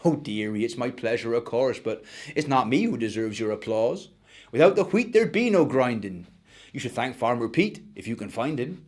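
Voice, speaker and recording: A man's voice: mid-range voice, lively intonation, normal speed, lightly acted. Man as a sweet, kindly lady miller. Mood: kindly modest.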